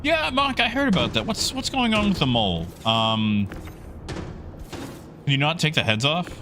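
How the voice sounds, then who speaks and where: A man's voice: on the high side, very animated, normal speed. Casual male streamer over noisy background. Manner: rambling, conversational, addresses chatters by nickname. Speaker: livestream host. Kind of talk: casual livestream chat with viewers.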